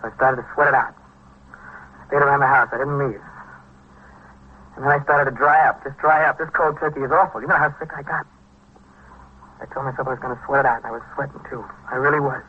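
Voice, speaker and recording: An actor playing a jittery, sick young drug addict who rambles and repeats himself in a vintage radio crime drama. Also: male voice, mid-range voice, steady tone, husky, lightly acted. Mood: agitated, desperate. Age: young adult. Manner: rambling, halting, repetitive, agitated. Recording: dull, noisy vintage radio recording.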